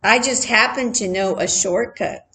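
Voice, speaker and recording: A woman voicing a sly male coyote in a storybook, a falsely friendly trickster who coaxes smoothly. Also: medium pitch, a little slow, bright, forceful tone. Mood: sly, coaxing.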